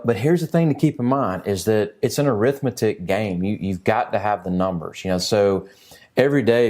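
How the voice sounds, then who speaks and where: A man's voice: fairly low pitch, a little mellow. A man giving conversational sales coaching in a frank, plainspoken way, often checking in with the listener. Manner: plainspoken, frank, checks in with "you know" and "right".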